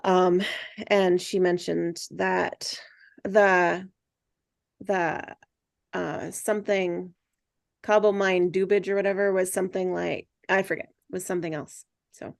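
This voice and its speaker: A woman's voice: fairly low pitch, little pitch movement, takes it slowly, gravelly, dry recording, clean studio sound. A woman talking casually and thoughtfully in a group discussion, as one of its hosts. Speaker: table read host who cast the reading.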